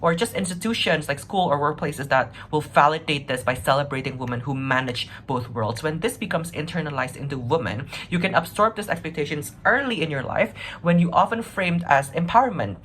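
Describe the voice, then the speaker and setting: A woman's voice: deep voice, brisk, few pauses, fairly bright tone, slight background noise. A woman giving an argumentative, semi-improvised debate speech, earnest and conversational, often tagging her points with short checks for agreement. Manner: earnest, conversational, frequent 'right?' and 'like' tags. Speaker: competitive debater, first affirmative speaker.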